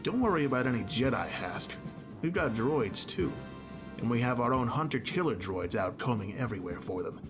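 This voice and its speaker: A man's voice: medium pitch, animated, moderate pace, music in the background, close to an everyday voice. A man playing a scheming, self-assured corporate director in a fan comic dub, dismissive and businesslike. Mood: dismissive, confident.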